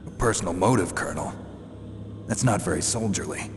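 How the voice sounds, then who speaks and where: An actor playing a cynical, dry-witted veteran soldier in a video game, gruff, gravelly and terse. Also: male voice, low-pitched, a little fast. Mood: sceptical. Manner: terse, deadpan, sardonic. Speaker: special forces soldier. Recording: very reverberant hall, loud background noise.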